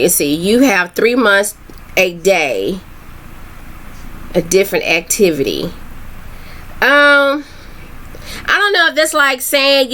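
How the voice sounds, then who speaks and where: A woman's voice: on the high side, pushed and bright. A middle-aged woman, a self-esteem coach and author, chatting loosely in a live video with many ums and restarts. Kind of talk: casual live video chat.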